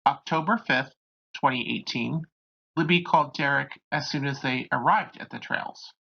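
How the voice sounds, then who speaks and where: Creaky, husky true crime narrator. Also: medium pitch, normal speed. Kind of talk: scripted narration. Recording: dull, lo-fi home recording.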